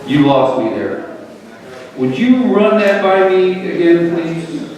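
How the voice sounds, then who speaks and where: A man's voice: high voice, very animated, slow, darkish tone, a little hiss or hum, heavily acted. A man playing a weary, wry old father in a dramatic reading of a parable, theatrical and emphatic in delivery. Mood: indignant, wry. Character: weary, wry, long-suffering old father of a rebellious son. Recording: roomy echoing hall, distant microphone.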